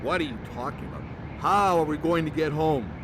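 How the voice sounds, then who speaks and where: A man's voice: a little high, lively intonation, brisk, lightly acted. A man playing a sarcastic, exasperated twin brother, the sensible one, in a comedy audio drama. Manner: sarcastic, needling. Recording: loud car and street noise behind.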